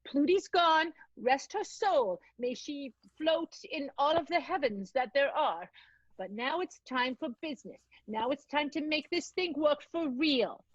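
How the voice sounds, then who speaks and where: A woman's voice: high-pitched, animated, unhurried, no echo. A woman playing a plucky, gutsy young vaudeville performer with perky, emphatic old-time talk in an improvised radio play, on a dull, dry recording. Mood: determined.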